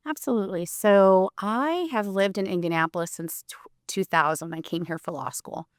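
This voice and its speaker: A woman in her forties from Puerto Rico, an attorney talking as a guest in an earnest, casual interview, with many pauses and restarts. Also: mid-range voice, clean tone, dry recording, clean studio sound.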